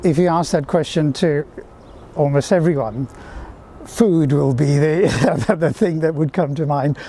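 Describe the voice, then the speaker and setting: A middle-aged man from Fiji, answering interview questions in a reflective, measured way. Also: fairly high pitch, dark tone.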